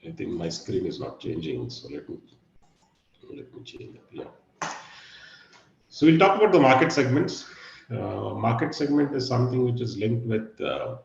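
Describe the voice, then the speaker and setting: A middle-aged man with a husky, low voice giving an online guest lecture, pausing often with fillers and "okay". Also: wildly swooping pitch, reverberant, dull audio, slight background noise. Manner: hesitant, many fillers and "okay". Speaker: guest speaker. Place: video call.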